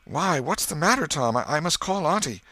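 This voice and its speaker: A man's voice: fairly high pitch, animated, fairly quick, pushed and bright, no echo, voice pushed up. A man voicing an anxious, easily frightened young boy with an urgent, fretful manner in an audiobook.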